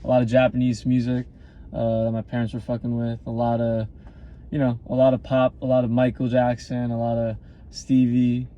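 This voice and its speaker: A man's voice: mid-range voice, completely flat delivery, normal speed, dark tone. A man raised in New York, a music artist talking casually and rambling with lots of "you know" and "like". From born in Los Angeles, raised in New York. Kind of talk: casual interview chat. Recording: dull, noisy recording.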